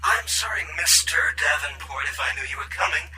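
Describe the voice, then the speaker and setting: A man's voice: fairly high pitch, lively intonation, a little fast, background noise, lightly acted. A man acting in an audio drama as a sardonic boss with a dry manner. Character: sardonic male boss speaking over intercom.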